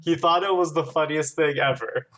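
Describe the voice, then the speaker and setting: A man's voice: a little high, moderate pace, a bit bright, muffled recording. A man reading listener stories aloud in casual banter, with a playful, amused storytelling manner. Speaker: podcast guest and editor.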